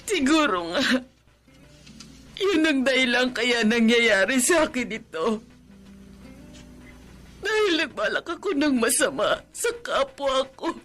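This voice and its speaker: A regretful middle-aged mother-in-law in a radio play, her high voice swinging widely as she pleads through tears. She speaks slowly and heavily. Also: sing-song, fairly bright tone, soft background music, exaggerated voice. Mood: remorseful. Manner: tearful, pleading. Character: remorseful bedridden mother-in-law, woman, older. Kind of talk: radio drama acting.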